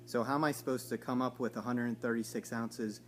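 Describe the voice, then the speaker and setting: A man in his forties at home, asking slow, hesitant, mock-innocent questions on a recorded phone call. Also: mid-range voice, fairly even intonation, music in the background. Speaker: caller. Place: home living room by a window.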